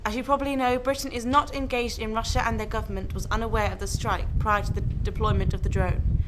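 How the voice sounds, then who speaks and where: Woman playing a higher-voiced news correspondent. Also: level tone, noisy background, close to an everyday voice. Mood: serious. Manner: measured, formal reporting delivery. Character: earnest female TV news correspondent reporting live. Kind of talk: news report within radio drama.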